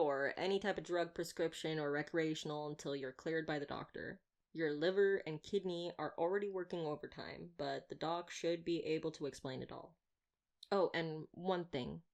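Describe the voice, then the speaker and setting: A woman playing a wry, talkative vampire hunter in an intimate roleplay audio drama, glib and teasing with a caring side. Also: deep voice. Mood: reassuring, wry. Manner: glib, flirtatious, sardonic banter.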